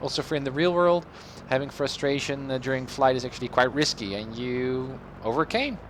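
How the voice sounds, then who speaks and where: A man's voice: mid-range voice, a little fast, slight background noise. A man who is a non-native English speaker, chatting casually on a livestream as an affectionate, encouraging flight coach. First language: not English. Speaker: flight sim streamer and informal flight instructor.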